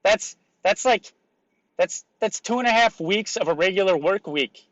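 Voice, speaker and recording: A man hosting a solo motivational podcast, raspy today, talking in an emphatic, repetitive coaching way. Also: sits high, expressive, moderate pace, fairly bright tone, no echo, highs cut off. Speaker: podcast host.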